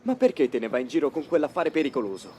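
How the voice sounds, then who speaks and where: A man playing a good-natured, easily flustered teenage boy in an expressive, colloquial anime dub style. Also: medium pitch, quick pace, slight background noise, close to an everyday voice. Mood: alarmed, questioning. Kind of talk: dubbed anime motion comic acting.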